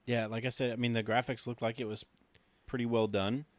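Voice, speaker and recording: A man's voice: mid-range voice, flat delivery, brisk, no echo. A man co-hosting a podcast in casual, informal chat, laid-back and agreeable. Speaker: podcast co-host.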